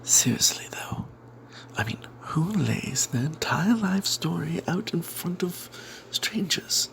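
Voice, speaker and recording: Breathy whispering man telling a fantasy tale. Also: medium pitch, poor audio. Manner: hushed and secretive, as if not to be overheard. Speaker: storyteller narrating a tabletop game tale. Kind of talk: whispered ASMR first-person fantasy storytelling.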